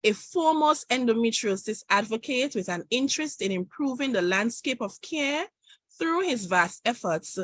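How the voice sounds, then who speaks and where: Husky-voiced woman hosting. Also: on the high side, a bit bright, no echo. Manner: reads a prepared introduction, measured. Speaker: host. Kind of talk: formal guest introduction.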